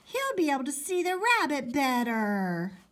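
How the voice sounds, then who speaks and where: A woman's voice: sits high, sing-song, unhurried, a bit bright, voice pushed up, full character voice. A woman voicing a whiny, insistent little boy who protests stubbornly in a storybook reading. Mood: eager, whiny.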